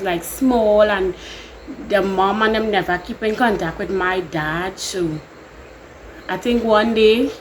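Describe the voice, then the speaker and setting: A woman's voice: mid-range voice, a little hiss or hum, rough recording. A young woman from Trinidad and Tobago with a Trinidadian accent, chatting casually and rambling through a get-to-know-me Q&A video. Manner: chatty, rambling, laughs often. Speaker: YouTuber. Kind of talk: casual Q&A vlog.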